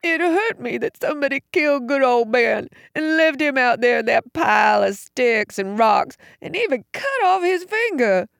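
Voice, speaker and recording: A woman's voice: high-pitched, big pitch swings, moderate pace, gravelly, hardly any pauses, no room sound, studio quality, voice pushed up, exaggerated voice. A woman voicing a grateful, tearful young giant of a man with plaintive, rustic speech in an audiobook story.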